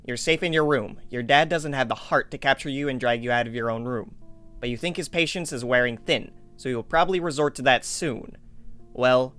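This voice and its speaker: A man's voice: mid-range voice, a little fast, fairly bright tone, faint music behind, lightly acted. A man narrating a game-like adventure story in scripted second person for an amateur fandub. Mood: measured storytelling.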